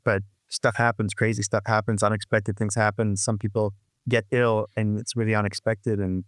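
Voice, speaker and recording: A man's voice: fairly low pitch, little pitch movement, normal speed, no room sound, studio quality. A man in his sixties, a musician guest talking reflectively in an interview, rambling with restarts and "you know, like". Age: 60s.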